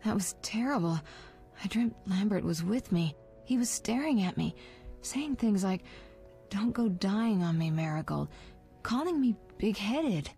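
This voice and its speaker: An actress playing an affectionate, vulnerable sorceress in a video game, earnest and emotional. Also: female voice, mid-range voice, animated, a little slow, dark tone, soft background music. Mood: relieved, wistful.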